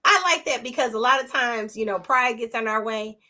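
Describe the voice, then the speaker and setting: A woman's voice: fairly high pitch, brisk, bright, forceful tone. A woman hosting an interview in a casual, affirming way, often repeating her guest's words back. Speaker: interview host.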